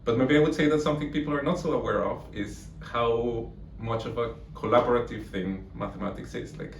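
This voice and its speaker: A man's voice: mid-range voice, brisk. Colombian guy, 30s, talking maths, lots of ums, roomy echo, faint hiss. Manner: thoughtful, many fillers and restarts. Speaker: mathematics lecturer. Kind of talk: unscripted interview answers. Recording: echoey room from far off, faint noise.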